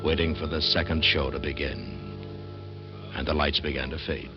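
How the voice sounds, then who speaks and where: Deep-voiced suspense narrator. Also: male voice, flat delivery. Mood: measured. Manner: measured, literary storytelling. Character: solemn male narrator of a suspense tale. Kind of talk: old-time radio dramatic narration. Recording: dull old radio recording, loud music.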